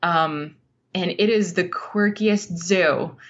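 Female interview guest, slightly low voice, unhurried and earnest, reflective storyteller, dull lo-fi sound. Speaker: podcast guest, writer.

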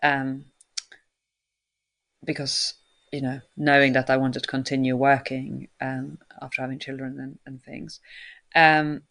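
A woman's voice: a bass voice, level tone, unhurried, vocal fry, fairly bright tone, no echo. Hesitant woman, dry sound. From Sweden. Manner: hesitant, many fillers and restarts, laughs often. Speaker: interview guest. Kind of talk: casual interview talk.

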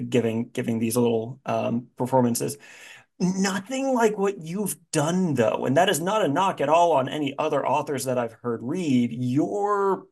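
A man's voice: medium pitch, darkish tone. A man hosting a podcast, chatting casually and effusively in an informal interview style. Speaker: podcast host.

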